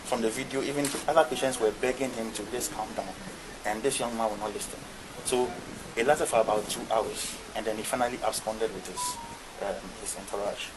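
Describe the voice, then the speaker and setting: A man's voice: on the low side, fairly even intonation, fairly quick. Man questioning in a noisy place. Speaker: visitor questioning hospital staff. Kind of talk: questioning and recapping in conversation. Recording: clear ambient noise.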